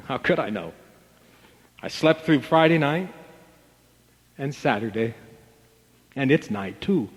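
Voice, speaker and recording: Male storyteller, emotive and theatrical, higher-set voice, unhurried delivery of a devotional tale over guitar music. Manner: theatrical, emotive, with weighty pauses. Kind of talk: dramatic storytelling of a devotional parable. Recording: long reverb, music bed behind voice. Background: acoustic guitar music.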